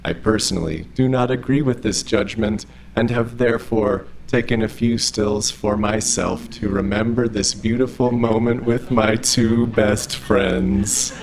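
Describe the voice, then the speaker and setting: Man playing a low, gravelly, overly friendly robot. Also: fairly even intonation, unhurried, few pauses, slight background noise, low-quality recording. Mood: friendly, literal. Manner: formal, stilted, unfailingly polite phrasing. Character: overly friendly, earnest, literal-minded male robot. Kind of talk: scripted audio drama acting.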